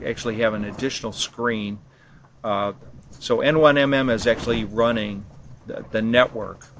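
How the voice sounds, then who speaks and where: A man giving unscripted explanations in an interview, halting and matter-of-fact in his delivery. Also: on the low side, fairly bright tone. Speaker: expedition team member being interviewed. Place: ham radio operating station on a remote island. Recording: clear background noise, on-site ambience.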